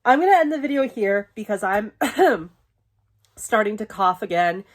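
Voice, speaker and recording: A woman's voice: medium pitch, lively intonation, normal speed. A young woman vlogging casually from her bedroom, rambling with lots of fillers and swearing, sniffly and losing her voice. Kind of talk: casual chat to camera. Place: bedroom, window open.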